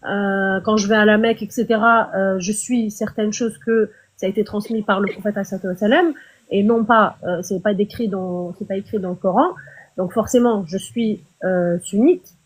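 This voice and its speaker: A woman's voice: mid-range voice, steady tone, moderate pace, poor audio. A woman debating in an online live stream, earnest and insistent, often stumbling and repeating words as she makes her point. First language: Arabic. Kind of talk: heated casual debate. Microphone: online live stream call.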